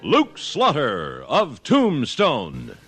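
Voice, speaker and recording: A man's voice: mid-range voice, wildly swooping pitch, very slow. A man announcing a 1950s radio western in grand, declamatory scripted narration. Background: prominent music.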